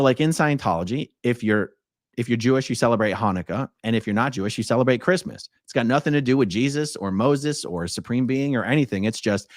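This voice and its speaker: A man's voice: mid-range voice, no echo, studio quality. A man hosting a live stream, reading viewer messages and commenting in a casual, blunt, conversational way.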